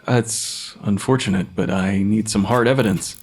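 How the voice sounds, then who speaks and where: A man's voice: lowish voice, normal speed, a little mellow, breathy edge, soft background music. An actor playing a blunt young male pathologist in an audio drama, speaking in a matter-of-fact, clinical way. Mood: awkward, reassuring. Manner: clinical, matter-of-fact, dictating notes formally. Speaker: actor in fiction podcast.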